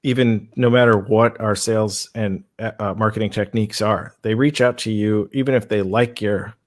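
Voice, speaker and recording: Halting, low-voiced man hosting an interview. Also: steady tone. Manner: halting, repeats words and circles around questions. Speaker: interview host. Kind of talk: casual interview chat.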